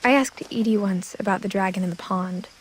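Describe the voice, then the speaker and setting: A woman playing a reflective seventeen-year-old girl, quietly narrating a story game in measured first person. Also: medium pitch, lightly acted. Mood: pensive, subdued. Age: teenager. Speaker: narrator protagonist. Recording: clear outdoor nature sounds with insects. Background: ambient insects and nature noise.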